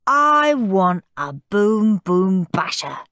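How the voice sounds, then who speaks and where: Bratty storybook boy, played by a woman: whiny, demanding, swooping pitch, pushy delivery. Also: big pitch swings, very slow, a bit bright, no echo, clean studio sound, full character voice. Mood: insistent, pleading. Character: horrid young boy, demanding, bratty and loud. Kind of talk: children's storybook character voice.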